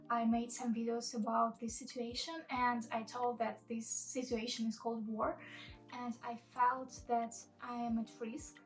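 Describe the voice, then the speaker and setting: A woman in her twenties from Russia, speaking English with a Russian accent, telling a personal story to camera in an earnest, plain way. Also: on the high side. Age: 20s. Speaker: vlogger. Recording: voice a bit far from the mic, soft music behind.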